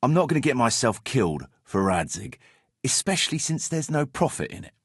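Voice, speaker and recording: An actor playing a wary, self-serving medieval mercenary captain in a video game, talking in a sardonic, pragmatic, patronizing way. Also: male voice, medium pitch, big pitch swings, a little fast, breathy edge, dry recording, clean studio sound. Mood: sardonic lecturing. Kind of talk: video game character dialogue.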